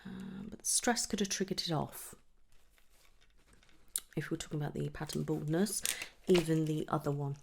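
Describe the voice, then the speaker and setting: A soft-spoken woman in an ASMR medical roleplay, gently asking questions in a breathy voice that often slips towards a whisper. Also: low-pitched, slight background noise. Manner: gentle, unhurried questioning with pauses for answers. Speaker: ASMR performer playing a trichologist.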